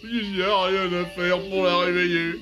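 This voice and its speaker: A man's voice: high-pitched, little pitch movement, moderate pace, lightly acted. A man playing a fretful, bumbling, kindly dwarf in a French cartoon dub, fussy and plaintive. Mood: dismayed. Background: music.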